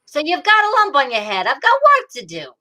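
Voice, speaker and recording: A woman playing a gruff but fond, exasperated police detective in an old-time radio drama, hardboiled and teasing. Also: high voice, wildly swooping pitch, a little fast, pushed and bright, exaggerated voice. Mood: impatient coaxing.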